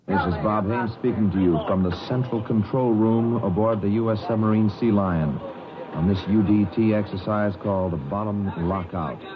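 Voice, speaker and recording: A man's voice: fairly low pitch, normal speed, crowd noise. A man giving a matter-of-fact live field report from a submarine control room. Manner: matter-of-fact, calling out events. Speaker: on-location radio reporter. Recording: dull field recording with loud machine noise.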